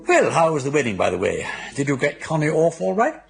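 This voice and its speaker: Older english toff brother, wry and chatty, creaky voice that's all over the place, radio play. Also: male voice, fairly high pitch, huge pitch swings, fairly quick, soft background music, exaggerated voice. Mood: breezy. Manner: urbane, wry, chatty and theatrical. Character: breezy, teasing older aristocratic brother, witty and worldly. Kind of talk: British radio comedy drama acting.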